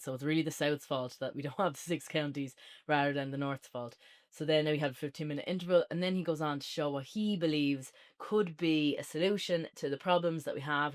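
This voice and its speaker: A woman co-hosting a casual, unscripted review podcast, chatting informally with plenty of "like". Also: sits low.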